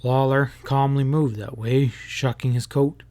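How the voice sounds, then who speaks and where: A man's voice: medium pitch, flat delivery, measured pace, darkish tone. A man reading a western novel aloud as a dramatic audiobook narration. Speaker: audiobook reader.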